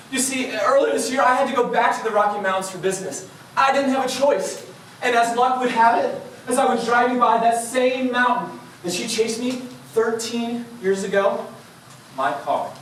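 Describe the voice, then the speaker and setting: A man delivering a theatrical tall-tale contest speech with dramatic emphasis and pauses, speaking in a large room. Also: very high voice, a bit bright. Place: large indoor conference room before an audience. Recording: echoey room from far off, faint noise.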